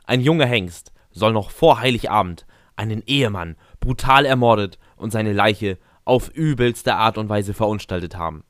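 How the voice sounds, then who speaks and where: Man as solemn tabloid tv reporter, news parody, lowish voice, dry close sound. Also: moderate pace, a bit bright, dry recording, lightly acted. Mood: grave.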